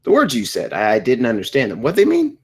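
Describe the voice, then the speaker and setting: Woman as a husky, dim slacker dude. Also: a bass voice, wildly swooping pitch, a little mellow, heavily acted. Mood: dopey. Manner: slow-witted, earnest, slangy. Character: dim, laid-back slacker dude pool cleaner. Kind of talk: scripted table read of a comedy screenplay.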